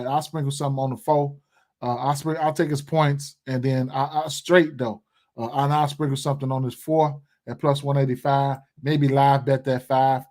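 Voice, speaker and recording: A man's voice: a little high, darkish tone. Slangy, chatty man streaming sports picks. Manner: loose and conversational, many fillers and repeats, slangy. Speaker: sports betting livestream host. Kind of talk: casual livestream commentary, reading chat. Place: indoor room.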